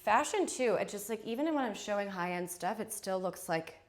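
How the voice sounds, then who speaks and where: A woman hosting a live shopping stream, chatting casually while unboxing, her voice nasal and stuffy from a head cold, joking and teasing herself as she goes. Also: a little fast. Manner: chatty, jokey, self-teasing, lively swings in pitch and loudness.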